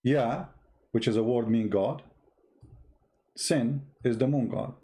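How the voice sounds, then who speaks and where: Mellow man, mocking and challenging. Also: mid-range voice, slow pace. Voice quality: non-native English grammar. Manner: mocking, challenging, repeats phrases and rhetorical questions. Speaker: live-stream host, religious polemicist. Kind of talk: informal argumentative live-stream monologue.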